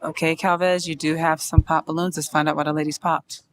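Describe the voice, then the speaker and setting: A man hosting a dating show, giving upbeat, brisk prompts to contestants. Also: fairly high pitch, level tone, fairly bright tone, slight background noise.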